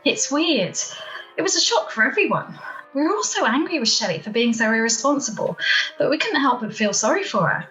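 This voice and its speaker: Gossipy townswoman played by an amateur actress, lively and high-pitched, storytelling with relish, roomy home recording for radio drama. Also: brisk, dull audio, faint music behind. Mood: gossipy, animated. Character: gossipy small-town woman relishing a scandal. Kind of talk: amateur radio play acting. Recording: roomy, echoey home video-call recording.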